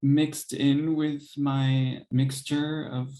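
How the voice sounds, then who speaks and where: A young man and composer, speaking thoughtfully in an interview, hesitant with many pauses and soft laughs. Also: medium pitch, level tone. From United States. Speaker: composer and pianist guest. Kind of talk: reflective interview conversation.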